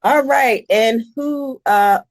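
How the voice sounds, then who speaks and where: A woman's voice: fairly high pitch, slow pace, no echo. Upbeat woman hosting a group call. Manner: upbeat, laughs often, short prompts. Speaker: host of an online networking meeting.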